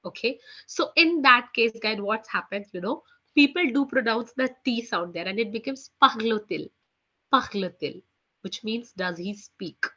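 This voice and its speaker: A woman's voice: moderate pace, pushed and bright. A woman with an Indian English accent teaching a beginner French class online, often repeating "you know" and "okay" between phrases. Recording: muffled low-fidelity audio.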